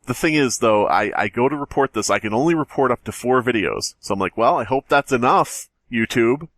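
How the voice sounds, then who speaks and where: A man hosts a podcast in casual, sweary conversation. His voice is medium in pitch, and the recording is very dry and close. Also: expressive, brisk, a bit bright, no room sound. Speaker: podcast host. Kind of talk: casual, profane chat and storytelling.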